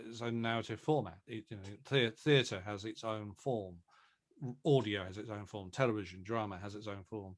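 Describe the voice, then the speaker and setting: A man speaking as a producer in an interview, explaining his craft casually with deliberate, hesitant phrasing. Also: on the low side. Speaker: audio drama producer, interview guest.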